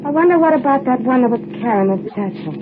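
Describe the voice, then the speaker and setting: A woman's voice: sits high, expressive, fast talker, noisy background. An actress playing a nervous young woman fleeing with her partner, talking in anxious, worried remarks in a 1940s radio drama. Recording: tinny old radio broadcast with music behind.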